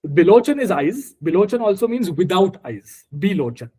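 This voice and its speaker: A man's voice: high voice, very animated, normal speed, mellow, slightly rough. A man from India with an Indian accent and Marathi as his first language, teaching a scripture study group informally, explaining word by word. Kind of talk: informal explanatory lesson with questions.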